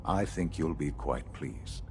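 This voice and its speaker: An actor playing a calm, calculating older institute leader in a video game, speaking formally and courteously. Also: male voice, a bass voice, slow, soft background music, exaggerated voice. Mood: calm, approving.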